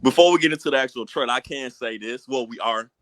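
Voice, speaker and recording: A man's voice: medium pitch, fast, fairly bright tone, no room sound. An American man talking casually with friends as a podcast host. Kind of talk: casual group podcast chat.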